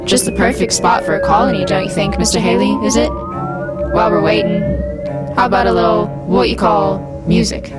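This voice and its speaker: A woman's voice: medium pitch, fairly even intonation, moderate pace, lightly acted. A student actress playing a sly, smug male Martian businessman in a radio play, drawling and teasing. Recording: music playing loud behind.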